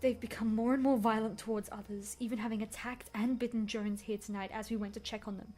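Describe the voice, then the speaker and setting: A woman's voice: fairly high pitch, steady tone, quick pace, background noise, natural voice. A woman playing a nervous, hesitant researcher delivering a report in an audio drama.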